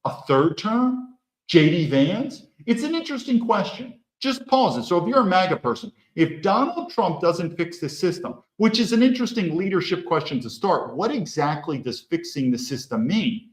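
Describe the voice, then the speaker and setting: A man's voice: high voice, expressive, moderate pace. A man hosting his own channel, talking through a commentary monologue in a blunt, opinionated way and firing off rhetorical questions. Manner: rhetorical, peppers talk with questions. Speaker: host. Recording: echoey room.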